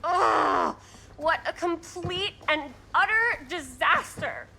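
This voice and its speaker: A dramatic young woman with a high, swooping voice. She is sarcastic and excitable, teasing in slangy bursts of theatrical exasperation. It is video game cutscene acting with faint noise behind. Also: slow pace, heavily acted. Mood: exasperated.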